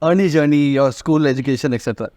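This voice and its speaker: A man's voice: fairly high pitch, fast, a little mellow, no room sound. A man with an Indian accent hosting a casual panel interview podcast. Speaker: podcast host.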